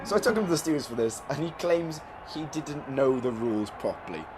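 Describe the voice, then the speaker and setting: A man's voice: mid-range voice, animated, a little fast. A man losing his voice, casually and self-mockingly commentating his own racing game footage with many ums. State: losing his voice, getting ill. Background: background music.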